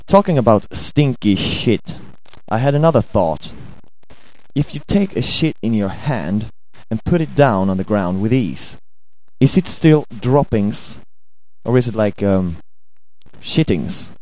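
Rambling hungover Swedish guy, low and mellow. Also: slow pace, rough recording. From Sweden. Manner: rambling, crude, deadpan, hesitant. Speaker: amateur audio ranter. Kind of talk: rambling crude home-recorded monologue. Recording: home recording with buzz and music.

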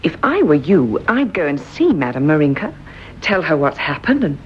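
An actress playing an excitable, superstitious friend who gushes and frets, in a lo-fi old radio drama. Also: female voice, deep voice, normal speed, husky, heavily acted. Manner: gushing, fretful, emphatic. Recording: dull lo-fi old radio recording.

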